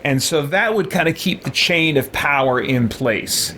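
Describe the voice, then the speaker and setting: A man's voice: fairly high pitch, animated, a little slow, bright, forceful tone, a little hiss or hum. A man hosting a gaming video, giving casual roleplay commentary and explaining his choices as he thinks aloud.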